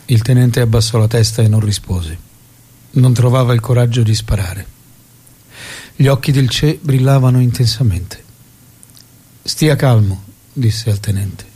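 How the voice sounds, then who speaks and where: An actor playing a solemn storyteller, giving scripted dramatic narration in a grave, measured way. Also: male voice, medium pitch, mellow, slightly rough. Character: solemn male storyteller recounting a revolutionary's death.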